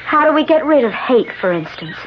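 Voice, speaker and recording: High-voiced, desperate, scheming woman. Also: big pitch swings, fairly quick. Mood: urgent. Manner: theatrical, urgent, emotional. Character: desperate, scheming, spirited woman pleading for escape. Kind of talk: 1970s radio drama acting. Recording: dull, noisy 1970s radio recording.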